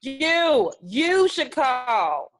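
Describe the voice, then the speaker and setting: A woman plays a skeptical, pushy comedy housemate. Her voice is high and lively, with a slow delivery. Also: full character voice. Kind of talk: comedic script table read.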